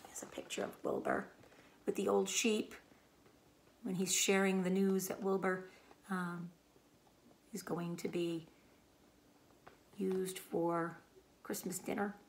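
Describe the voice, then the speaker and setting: A woman reading a children's storybook aloud as a teacher, in expressive narration. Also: lowish voice, takes it slowly, vocal fry, long pauses, a little hiss or hum.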